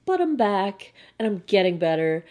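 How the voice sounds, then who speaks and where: A young woman with a hoarse, croaky voice, chatting casually as she unboxes beauty products to camera. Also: medium pitch, big pitch swings, mellow. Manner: chatty, bubbly, quick asides. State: hoarse, frog in throat. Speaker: beauty vlogger.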